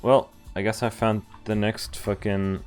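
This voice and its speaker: A man's voice: lowish voice, little pitch movement, a little slow, darkish tone, smooth. A young man streaming a visual novel, reading its text aloud with casual, amused commentary over game music. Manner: casual, sweary, amused reactions.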